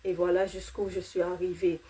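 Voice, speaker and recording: A woman's voice: on the low side, little pitch movement, moderate pace, mellow. A woman in her thirties, not a native French speaker, giving an earnest personal faith testimony, with fillers and restarts.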